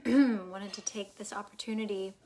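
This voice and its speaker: Calm woman guiding a meditation. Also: mid-range voice. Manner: calm, unhurried, soothing, soft phrases trailing into breathiness. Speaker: guided meditation teacher. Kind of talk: guided meditation, gentle instruction.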